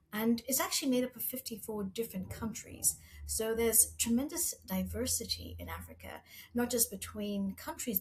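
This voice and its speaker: A middle-aged woman who grew up in Zambia, speaking as a podcast guest. She talks in an earnest, reflective way with a measured pace. Her voice sits at a medium pitch. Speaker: guest, pharma medical executive. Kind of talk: interview storytelling.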